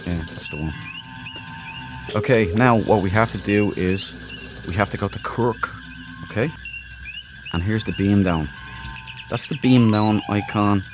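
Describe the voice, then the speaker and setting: A low-voiced man hosts a gaming video with casual commentary. He rambles informally through his explanations, and game music plays clearly behind him. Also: measured pace, pauses often. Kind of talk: casual let's play commentary.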